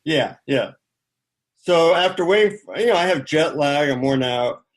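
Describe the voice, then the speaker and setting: A man's voice: on the high side, animated, normal speed. A middle-aged man speaking as an interview guest, informally sharing anecdotes and advice in a conversational way.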